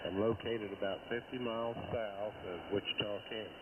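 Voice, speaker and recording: An American man chatting casually as an amateur radio operator in a plain-spoken, unhurried way. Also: lowish voice, fairly even intonation. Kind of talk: casual ham radio chat. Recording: narrow radio link with clear static.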